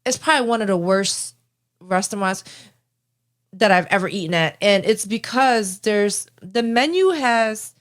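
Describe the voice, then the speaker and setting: Blunt, animated young woman. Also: medium pitch, measured pace. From Cambodian-American family. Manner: blunt, animated, swears freely. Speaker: podcast co-host. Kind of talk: casual chat.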